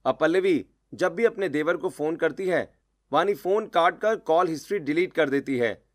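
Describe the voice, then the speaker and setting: A man's voice: fairly high pitch, normal speed. A man narrating a scripted moral story in a storyteller's role.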